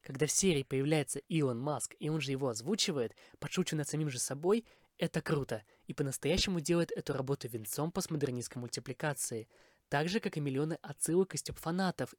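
A man's voice: on the high side, fast talker, no room sound, studio quality. A man from Kazakhstan hosting a podcast, delivering a scripted review monologue. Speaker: podcast host.

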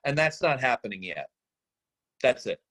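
A man giving deliberate, analytical feedback on a script in a group discussion. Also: mid-range voice, sing-song, a bit bright, low-quality recording. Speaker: readers theatre workshop participant.

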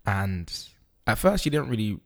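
A man, a podcast guest talking through his childhood in an easygoing, unhurried way with frequent ums. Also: fairly low pitch, big pitch swings, vocal fry, fairly bright tone. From East London, UK. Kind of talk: casual interview chat.

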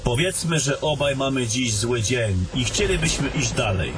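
A man's voice: mid-range voice, lively intonation, normal speed, noisy background, natural voice. A man playing a travelling adventurer in a fantasy audio drama. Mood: persuasive. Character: fantasy adventurers, gruff travelling men and a mage.

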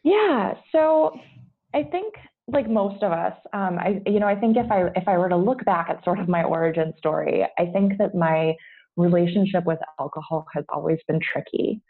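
An American woman with a creaky, husky voice shares her story as an interview guest. She talks candidly and haltingly, rephrasing as she goes. The sound is slightly dull, as if over a remote line. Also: fairly low pitch, lively intonation. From United States. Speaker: podcast guest. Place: at home, remote podcast call.

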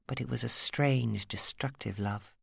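A woman's voice: a bass voice, unhurried, vocal fry, fairly bright tone, slightly rough, no echo, clean studio sound. A woman with an English accent reading a novel as an audiobook narrator, in a dramatic scripted style.

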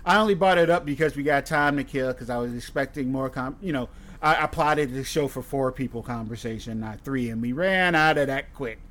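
A man's voice: mid-range voice, fairly quick, a bit bright. A man hosting a podcast, chatting casually in a blunt, profane, rambling way. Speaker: podcast host. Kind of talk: casual chat.

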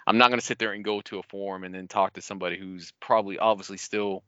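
Bright, clear-voiced man, casually swearing. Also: deep voice, level tone, quick pace, no room sound, lo-fi sound. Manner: profane, rambling, self-mocking storytelling. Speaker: podcast co-host. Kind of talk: casual gaming podcast chat.

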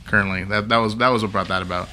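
A man's voice: lowish voice, steady tone, very fast, pushed and bright, a little hiss or hum. A man hosting a casual podcast, talking loosely with many "like"s and fillers. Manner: loose, filler-heavy, lots of "like". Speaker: podcast host. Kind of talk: casual chat.

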